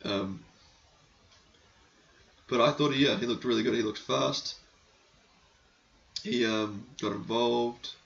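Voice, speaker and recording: A man hosting a casual hockey podcast and answering listener questions. He is losing his voice, clears his throat often, and talks in a rambling, frank, sometimes crude way. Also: medium pitch, fairly even intonation, slow pace, long pauses, a bit bright, lo-fi sound. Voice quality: gravelly, croaky.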